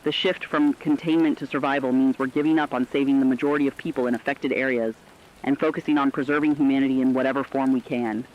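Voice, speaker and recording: Male actor as compassionate radio drama co-anchor, medium pitch, somber scripted commentary, reflective delivery, dull lo-fi recording. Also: steady tone, fast talker, natural voice. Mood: somber, earnest. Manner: earnest, reflective.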